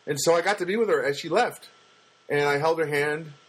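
A man with a booming voice telling a personal story in a casual monologue, halting often with fillers and restarts. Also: slightly rough, poor audio. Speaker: storyteller recounting a personal loss.

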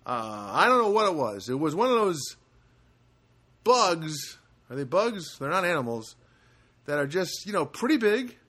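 A man hosting a casual solo podcast from a studio apartment, rambling and halting with many fillers. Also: high-pitched, pushed and bright. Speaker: podcast host.

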